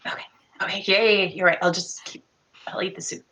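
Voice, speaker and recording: A woman playing an anxious, indecisive adventurer in a tabletop game, rambling in a hushed, hesitant voice. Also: on the low side, fairly even intonation, quick pace, slight background noise. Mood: nervous, hushed.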